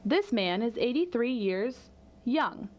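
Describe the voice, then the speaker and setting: Lively higher-voiced woman reporter. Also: slow, lo-fi sound, a little hiss or hum. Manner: clear, even read voice-over. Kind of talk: scripted narration.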